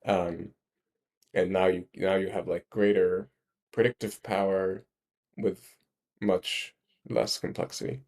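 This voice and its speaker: A man explaining his ideas in a casual discussion, halting and rephrasing emphatically as he goes. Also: low voice, flat delivery, darkish tone. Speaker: speaker explaining a research ranking system.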